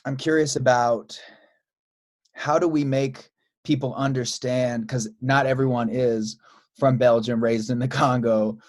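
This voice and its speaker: Male podcast host, average pitch, informal conversational interviewer with halting, searching phrasing. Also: fairly even intonation.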